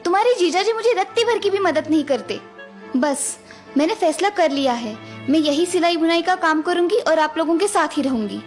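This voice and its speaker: A woman's voice: extremely high pitch, animated, normal speed, soft background music. A woman voicing a young village wife who is fed up with housework and complains sarcastically. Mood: complaining.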